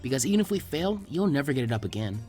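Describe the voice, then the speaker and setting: A man's voice: medium pitch, big pitch swings, racing, warm tone, husky, soft background music. A man voicing a fierce teenage ninja girl in a story, snappish and smirking. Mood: smug, menacing.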